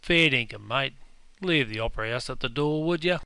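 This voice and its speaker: A man with an Australian accent playing a gruff, pedantic pub owner who scolds customers in broad slang, in a scripted comedy dialogue. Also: mid-range voice, animated, fairly quick, pushed and bright. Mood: scolding. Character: gruff, pedantic Aussie publican, quick to correct. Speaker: publican character.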